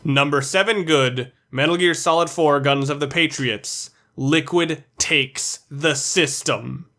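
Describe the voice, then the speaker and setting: A man with a slightly high voice narrates a countdown video. His delivery is scripted commentary, set out item by item as a video essayist. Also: slow pace, fairly bright tone.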